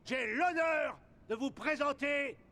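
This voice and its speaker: An actor playing a solemn, reverent announcer in a martial-arts video game, speaking in formal, ceremonious declamation over noisy game audio. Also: male voice, very high-pitched, slow, a crowd in the background, exaggerated voice. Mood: solemn, proud.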